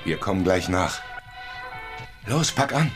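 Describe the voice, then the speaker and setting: A man's voice: low-pitched, big pitch swings, unhurried, over music. An actor playing a naive, stubborn man devoted to his girl, speaking earnestly in a radio crime drama. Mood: worried, stubborn.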